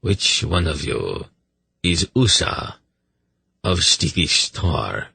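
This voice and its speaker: A man voicing a cold, aged high priest in a hollow, slow, commanding audiobook voice. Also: sing-song, creaky, pushed and bright, breathy edge, dry recording, exaggerated voice. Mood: cool command. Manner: slow, non-committal, authoritative.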